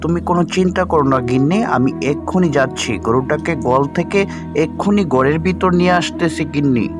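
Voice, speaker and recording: Earnest poor farmer over loud music, a man acting. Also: fairly high pitch, fast, close to an everyday voice. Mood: alarmed, despairing. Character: poor, hopeful male village farmer, devoted husband. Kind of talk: children's cartoon voice acting.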